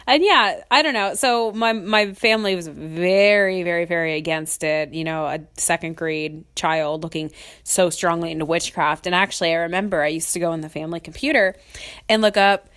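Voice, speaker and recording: Woman, young adult, podcast host, has a cold, sniffly, slightly low voice, rambling casual chat, frequent laughs. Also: normal speed, a bit bright. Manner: chatty and rambling, laughs often, many ums.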